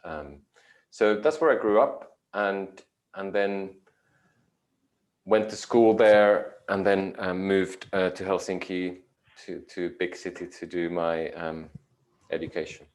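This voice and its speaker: Deep-voiced man, measured and thoughtful. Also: steady tone. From Finland. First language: Finnish. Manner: measured, thoughtful, with frequent pauses and fillers. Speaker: guest, yoga teacher. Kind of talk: reflective interview answers.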